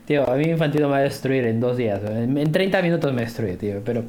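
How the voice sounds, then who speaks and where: A man with a Peruvian accent chatting casually on a podcast in an animated, rambling, slangy way. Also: medium pitch, fast talker. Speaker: podcast host.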